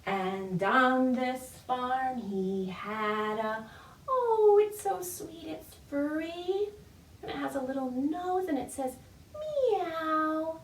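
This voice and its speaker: A woman's voice: high-pitched, animated, slow pace. A woman leading a children's storytime in a playful, encouraging, sing-song way, with simple phrasing for babies and their parents. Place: indoor room, recorded virtual session. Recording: echoey room, distant microphone.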